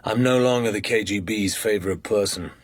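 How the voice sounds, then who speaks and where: An actor playing a cool British secret agent undercover, with a husky English voice, in a radio drama. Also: male voice, lowish voice, brisk, no echo, close to an everyday voice. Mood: grim, hushed.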